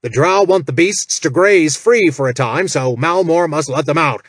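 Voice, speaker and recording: A man's voice: a little high, normal speed, no echo, studio quality, pitched above the performer's own voice, lightly acted. A man voicing a bossy male orc overseer who bellows orders, repeating himself. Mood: bellowing orders.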